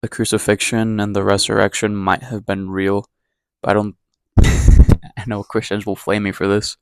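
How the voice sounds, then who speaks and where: Young man from Ecuador, lowish voice, casual daily vlog, rambling and candid, self-deprecating. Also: completely flat delivery, moderate pace, a little mellow, clear, resonant tone, dry recording. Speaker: vlogger. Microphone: camera mic, talking to camera.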